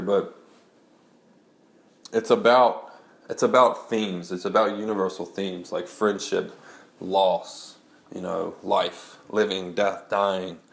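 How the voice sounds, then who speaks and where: Deep gravelly man with a stuffy head cold. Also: echoey room. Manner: rambling, many restarts and "like"s. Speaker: blogger and memoir writer. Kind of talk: casual spoken audio blog.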